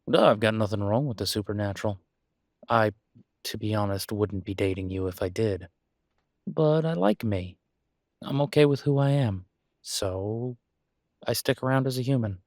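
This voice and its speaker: A man's voice: lowish voice, no room sound, studio quality. A man playing an easygoing, supportive boyfriend in an intimate one-sided roleplay audio, talking casually and reassuringly with folksy turns of phrase. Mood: easygoing, self-deprecating.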